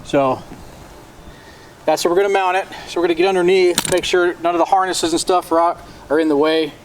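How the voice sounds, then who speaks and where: A man's voice: high voice, fairly even intonation, fairly quick, rough recording. An American man narrating a casual hands-on how-to in an informal, plain-spoken way, recorded outdoors on a camera mic. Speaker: DIY boat maintenance video host. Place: outdoors, on a boat parked by a driveway. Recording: faint outdoor background noise.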